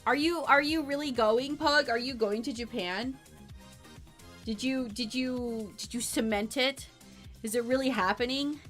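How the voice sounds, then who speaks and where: A fairly high, bright-voiced woman chats with her stream viewers while she doodles. She rambles, circles back, repeats phrases and breaks into giggles. Soft music plays faintly behind her. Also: measured pace. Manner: rambling and bubbly, repeats herself, frequent giggles. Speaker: livestreamer. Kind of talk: casual chat with stream viewers while drawing.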